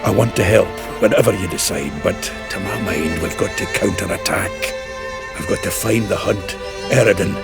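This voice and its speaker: A low, gravelly dwarf talks. He is blunt, earthy and hearty, a fantasy game warrior with music clearly behind him. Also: normal speed. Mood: blunt urging. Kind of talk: video game voice acting.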